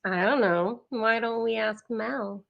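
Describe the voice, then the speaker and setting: A high, gravelly-edged voice for a brash young female patient in a horror drama, acted by a woman. She is cocky and volatile, with a dangerous, unstable streak. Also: slow, lo-fi sound. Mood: taunting, cocky. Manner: taunting and cocky, breaking into screaming panic. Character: Sasha, brash, mean, unhinged young female psychiatric patient. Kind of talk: table read of a horror script.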